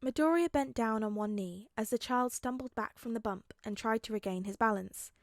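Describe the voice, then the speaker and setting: A woman's voice: a little high, moderate pace, dry recording, clean studio sound. A woman reading a story aloud as scripted audiobook narration. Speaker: audiobook reader.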